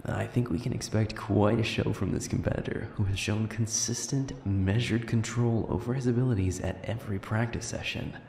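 A man playing a composed sports commentator in an audio drama, measured and announcerly, in an echoing space. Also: fairly low pitch, steady tone, vocal fry, a little mellow.

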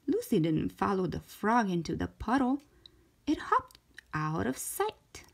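A woman reads a children's story aloud with lively, swooping pitch and a slow pace. Her voice is a little husky, and she shapes each short sentence carefully and expressively for young listeners. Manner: animated, careful storytelling delivery.

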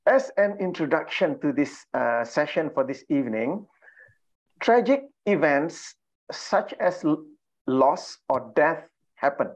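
A man's voice: on the high side, expressive, takes it slowly. A middle-aged man from Malaysia hosting an online workshop on a video call, welcoming people with lots of "uh" fillers. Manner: frequent "uh" fillers, warm and laughing. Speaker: trainer and coach hosting an online session. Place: home room with bookshelves. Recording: slightly dull video call audio.

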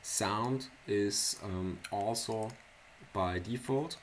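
A man from Switzerland whose first language is Swiss German, talking through a computer tutorial in a very low, deep voice. He speaks slowly and haltingly, stopping for fillers and restarting words. A soft hiss and keyboard clicks sit behind him from his desk mic. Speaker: software tutorial presenter. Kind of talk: casual screencast tutorial. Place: small indoor room at a computer.

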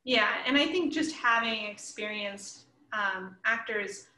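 Woman in her 30s chatting on a video call, bright sharp voice, lots of room echo. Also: medium pitch, lively intonation, takes it slowly, a little hiss or hum. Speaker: comics creator and panel guest. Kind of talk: casual panel chat. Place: indoors at home, room with bookshelf, video call. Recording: echoey room, shared mic.

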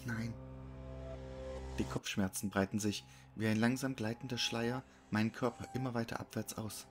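A man's voice: medium pitch, steady tone, a little fast. A man narrating a scripted horror story. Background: music.

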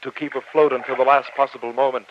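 An actor playing an earnest, formal professor who narrates a sea adventure in old-time radio drama style. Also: male voice, mid-range voice, steady tone, fast, slight background noise, natural voice. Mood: grave narrating. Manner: formal, theatrical, dramatic delivery. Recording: muffled old radio recording, music underneath.

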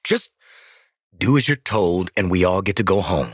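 An actor playing a cocky, world-weary assassin in a video game, with a raspy American voice and a brash, streetwise, wisecracking manner. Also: male voice, deep voice, expressive, moderate pace, dry recording, clean studio sound. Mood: cocky, wry.

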